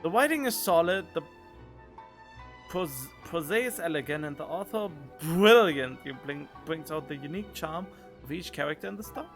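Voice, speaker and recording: A man's voice: a little high, expressive, normal speed, fairly bright tone. A man doing casual gaming stream commentary, reading game text aloud and reacting to it. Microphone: close studio condenser microphone. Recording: game music behind.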